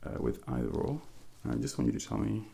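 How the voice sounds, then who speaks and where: A man speaking softly and breathily, often close to a whisper, in an ASMR doctor role-play, giving gentle, reassuring, unhurried instructions. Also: on the low side, very animated, gravelly, a little hiss or hum. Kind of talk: soft-spoken ASMR medical exam role-play.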